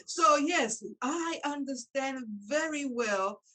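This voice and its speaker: A woman's voice: high-pitched, slow. Middle-aged woman with Spanish accent. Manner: chatty, warm-hearted affirmations, frequent laughter. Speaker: interview host. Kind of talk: casual interview chat.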